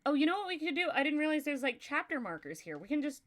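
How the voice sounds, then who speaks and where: A woman's voice: high-pitched, sing-song, fast, fairly bright tone. A young woman from Texas with a Texan accent, reading a story aloud on a livestream and chatting casually, laughing often.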